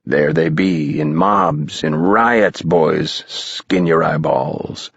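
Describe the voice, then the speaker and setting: A man reading an audiobook, voicing a mischievous, gleeful old male spirit guide in a low, old-fashioned manner. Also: very slow, gravelly, husky, dry recording, lo-fi sound, heavily acted. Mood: gleeful, spooky.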